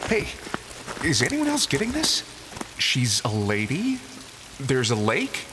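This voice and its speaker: A man's voice: medium pitch, wildly swooping pitch, slow, pushed and bright, big-room sound, slight background noise, heavily acted. A man playing a wary, quippy young adventurer in an audio drama, incredulous and protective in manner.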